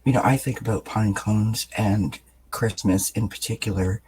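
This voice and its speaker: Guy doing a daily tarot reading, raspy just-up voice, low and kinda breathy, soft and rambly. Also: moderate pace, rough recording. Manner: soft-spoken, relaxed, meandering with many fillers. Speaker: tarot reader. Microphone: camera mic, above-view camera.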